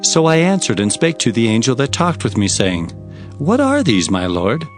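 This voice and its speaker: Actor as male prophet, earnest, formal storytelling, highly animated pitch, mid-range voice, scripture audio drama, faint music. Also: normal speed, lightly acted. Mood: awed, questioning. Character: earnest male prophet recounting his visions.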